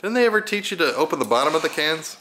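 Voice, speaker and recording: A man's voice: a little high, sing-song, very fast, very noisy. A man behind the camera, teasing and needling in casual banter in a home video. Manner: joking, needling. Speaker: camera operator. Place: open living room and kitchen at home. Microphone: behind the handheld camera.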